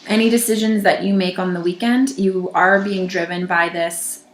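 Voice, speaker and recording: A woman with a groggy voice giving a gentle, reassuring weekly tarot reading, pausing and restarting as she talks. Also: on the low side, reverberant. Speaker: tarot reader.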